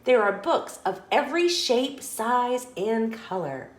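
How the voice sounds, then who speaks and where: Lady doing kids story time, slow and really expressive, bit of room echo. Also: fairly high pitch. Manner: animated, deliberate storytelling for young children. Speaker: children's story reader and host. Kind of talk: expressive read-aloud narration of a children's picture book.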